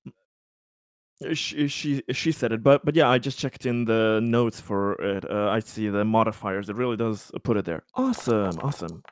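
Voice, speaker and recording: Male tabletop player, low voice, dry close sound, casual, tends to double up words. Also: normal speed, dry recording. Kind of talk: casual chat.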